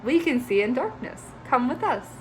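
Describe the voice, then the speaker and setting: A woman voicing a protective mother bat, soft and motherly in a storybook reading. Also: high-pitched, big pitch swings, normal speed, soft background music, pitched above the performer's own voice, low-quality recording. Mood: reassuring.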